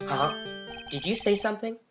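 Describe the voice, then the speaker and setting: A man's voice: sits high, lively intonation, normal speed, loud music behind the voice. A man voicing an earnest young lawyer in a video game fan dub, stammering when flustered and given to wry asides. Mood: exasperated. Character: earnest young male lawyer, nervous, wryly exasperated.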